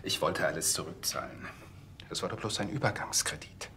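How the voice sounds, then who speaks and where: An actor playing an anxious, defensive suspect in a TV crime series. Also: male voice, very deep voice, animated, brisk, vocal fry, sharp tone, a little hiss or hum, exaggerated voice. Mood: pleading. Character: defensive, anxious male church musician suspect. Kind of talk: TV crime drama acting.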